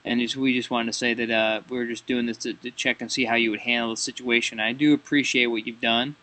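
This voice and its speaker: A man with a bright, forward voice and a middling pitch plays out a prank call. He rambles, stammers and doubles back on his phrases as he improvises like a comedy show host. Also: level tone, quick pace, no echo. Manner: rambling, stammering, repeats himself.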